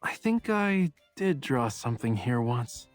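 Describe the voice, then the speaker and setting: An actor playing a playful, aloof art professor and boyfriend in a romantic game, teasing and understated, with music behind him. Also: male voice, medium pitch, sing-song, a little slow. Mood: musing.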